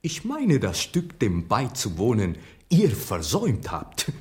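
A boastful Spanish playwright full of scorn. His pitch leaps dramatically up and down while the words come slowly. It is haughty, theatrical radio play acting, set fairly low. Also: wildly swooping pitch, darkish tone, heavily acted. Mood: boastful, scornful.

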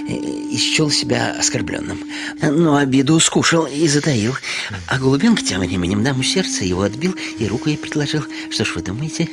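Servile, spiteful tipsy petty official, swooping. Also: male voice, mid-range voice, lively intonation, brisk, creaky. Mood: sly, insinuating. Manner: obsequious, hissing deferential "-s" endings, sly rambling. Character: servile, spiteful middle-aged petty official, drunk and needling. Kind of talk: Russian classic radio drama acting.

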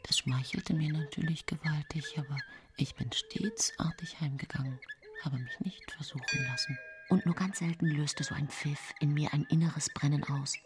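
An actress playing a seemingly pious, gentle yet secretly cold 19th-century housewife, confiding her story in a measured radio drama monologue. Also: low voice, fairly even intonation, noisy background, close to an everyday voice. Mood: intimate, confiding. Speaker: actress narrating in a radio play. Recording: music bed behind studio voice.

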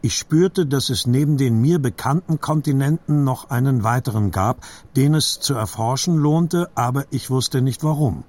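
Husky male audiobook narrator. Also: mid-range voice, moderate pace, hardly any pauses, darkish tone. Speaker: literary narrator.

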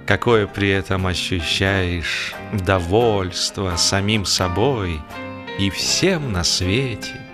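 Male narrator for radio comic fiction, lowish voice, theatrical phrasing, faint music underneath. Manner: expressive, theatrical, drawn-out emphasis. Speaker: audiobook narrator, actor. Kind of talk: dramatized humorous literary narration. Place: radio studio.

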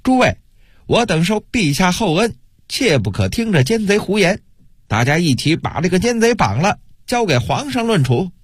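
A man acts out an indignant, loyal minor official in a historical audiobook. The voice is pushed high with a husky edge, swinging widely as he declaims in defiance, ready to die for his cause. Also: sing-song, normal speed, no room sound, clean studio sound, heavily acted. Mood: urgent appeal. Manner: impassioned, declamatory shouting.

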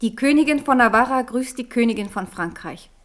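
An actress voices a proud queen in an old radio play, her voice pitched a little high. She is firm and dignified, and every answer comes back pointed and wary. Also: lively intonation, fairly quick, close to an everyday voice. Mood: guarded. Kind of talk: 1970s radio drama acting.

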